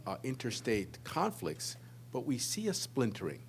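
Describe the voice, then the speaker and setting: A man in the audience asking a long question, halting with many ums and you-knows. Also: medium pitch, wildly swooping pitch, a little hiss or hum.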